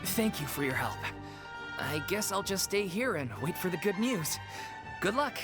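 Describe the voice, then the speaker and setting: Earnest young troupe leader, animated pitch. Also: male voice, moderate pace. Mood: grateful. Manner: polite, hesitant, earnest. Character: earnest, humble, polite young leader of an amateur theatre troupe. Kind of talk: anime video game voice acting. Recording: music behind the voice.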